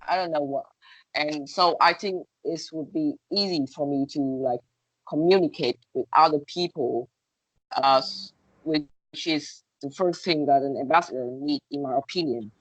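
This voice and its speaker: Low-voiced hesitant Vietnamese-speaking woman. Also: moderate pace, no echo, low-quality recording. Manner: hesitant, searching for words, many fillers. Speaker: contestant. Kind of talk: English-speaking contest interview.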